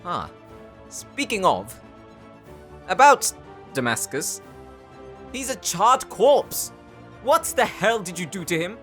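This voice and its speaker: A man performs an impatient warrior in a fantasy audio drama. His slightly raised voice swings widely in pitch, with formal, dryly exasperated phrasing over background music. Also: sing-song, takes it slowly, long pauses, a bit bright, exaggerated voice. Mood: puzzled. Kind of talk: audio roleplay drama acting. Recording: music under the voice.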